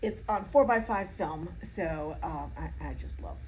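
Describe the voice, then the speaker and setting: A woman's voice: sits low, unhurried, slight background noise, rough recording. A woman artist being interviewed, chatting casually and explaining things with enthusiasm.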